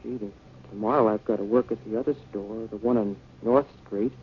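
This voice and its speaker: A man's voice: on the low side, fairly even intonation, a little fast, breathy edge. An actor playing an eager, polite young grocery delivery boy with an earnest manner, in 1950s radio drama style. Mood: wistful. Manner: earnest, boyish. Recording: dull, band-limited 1950 radio recording.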